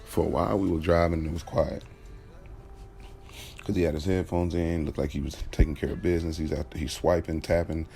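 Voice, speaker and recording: A man's voice: extremely low pitch, level tone, vocal fry, warm tone. A man playing an easygoing young driver telling his own story in casual, slangy audio drama narration. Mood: casual, storytelling. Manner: conversational, slangy, unhurried. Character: Jalen, easygoing young male driver, smitten, recounting his day.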